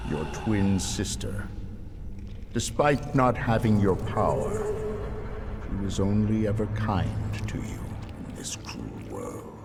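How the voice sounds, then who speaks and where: An actor playing a coldly taunting spirit judge in a video game, measured, theatrical and condescending as he makes accusations. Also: on the low side, very animated, gravelly, background noise, heavily acted. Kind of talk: dramatic video game voice acting. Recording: music under the voice.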